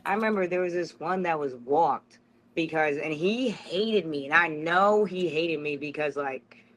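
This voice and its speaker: A woman's voice: low voice, unhurried. A young woman telling stories as an interview guest in a candid, rambling, slangy conversational way, saying "like" often. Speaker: interview guest, former prison inmate.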